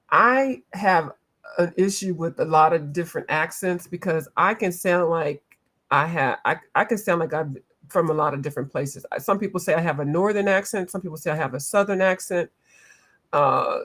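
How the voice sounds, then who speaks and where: A fairly low-voiced woman chatting casually at home with viewers as she colors. She answers their comments out loud, laughs easily and keeps a loose, playful tone. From eastern United States. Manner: laughs often, playful, drifts between accents. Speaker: coloring livestream host. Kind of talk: casual chat with live viewers.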